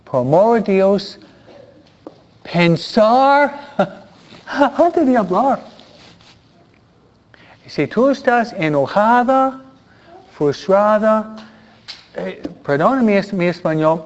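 A man's voice: sits high, expressive, takes it slowly, pauses often. Man preaching Spanish. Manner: earnest, preaching with repeated pleas and rhetorical questions. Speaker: priest. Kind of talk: sermon. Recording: dull lo-fi sound.

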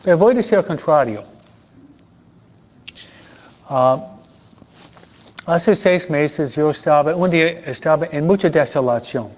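A man's voice: a little high, lively intonation, measured pace, pauses often, a little mellow. Man preaching Spanish. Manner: earnest, preaching with repeated pleas and rhetorical questions. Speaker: priest. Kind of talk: sermon. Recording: dull lo-fi sound.

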